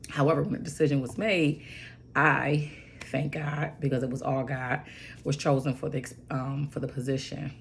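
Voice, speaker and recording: A hoarse woman in her forties with a raspy voice, rambling through a casual story with lots of fillers and "right?" asides. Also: very deep voice, a little hiss or hum. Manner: rambling, goes off on tangents with many fillers; emphatic, keeps asking "right?". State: hoarse from bronchitis. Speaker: registered nurse.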